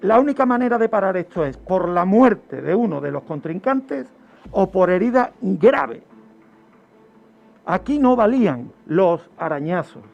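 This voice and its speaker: High-pitched, gravelly man telling history on radio. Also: animated, unhurried, a little mellow. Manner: emphatic, didactic, repeats phrases for effect. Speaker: radio criminologist presenting a true-crime segment. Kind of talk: storytelling explanation in a radio talk. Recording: dull lo-fi radio audio, music underneath. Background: background music.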